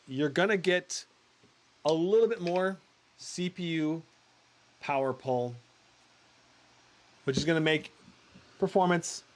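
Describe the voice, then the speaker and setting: A man with a slightly high, loud voice runs a laptop review livestream. He talks casually and chattily, reading out figures with lots of "uh" and "all right?" tossed in. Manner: chatty, many fillers, talks through numbers on screen. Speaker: tech reviewer hosting a livestream. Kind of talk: casual live benchmark commentary.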